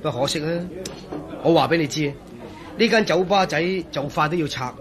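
A man acting as a genial, easygoing engineer in a radio drama, speaking in a chummy, expressive way with a Hong Kong Cantonese accent. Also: a little high, fairly quick, a crowd in the background, natural voice. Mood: genial, chatty. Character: genial, easygoing male engineer and old friend.

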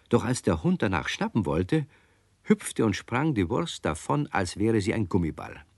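An actor playing a lively storyteller, narrating a scripted children's radio play to young listeners. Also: male voice, low-pitched, no room sound, heavily acted.

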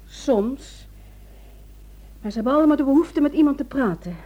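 An actress in a Dutch radio drama playing a worried, determined wife who speaks in an earnest, pleading way. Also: female voice, sits high, moderate pace, warm tone, natural voice. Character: worried, determined wife seeking help for her secretive husband.

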